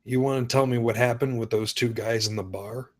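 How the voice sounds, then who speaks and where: A man's voice: mid-range voice, steady tone, brisk, natural voice. A man reading a suspicious, probing police detective in a screenplay table read.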